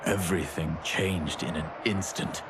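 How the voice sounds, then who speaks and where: An actor playing a grave, embittered deposed emperor in a video game, speaking formally and solemnly. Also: male voice, steady tone, unhurried. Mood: grave, bitter. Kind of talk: dramatic video game cutscene acting. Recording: loud background rumble.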